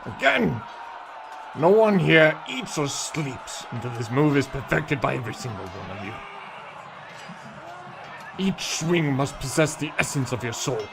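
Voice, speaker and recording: A man acting a harsh, demanding sword master with a gravelly voice in a fantasy audio drama. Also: mid-range voice, very animated, measured pace, pauses often, background noise. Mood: stern, commanding. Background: music.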